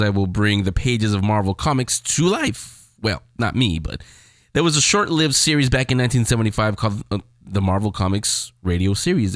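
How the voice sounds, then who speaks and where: A man's voice: fairly low pitch, no room sound, studio quality. A man hosting a show, talking casually and off the cuff. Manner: informal, off-the-cuff. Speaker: podcast host.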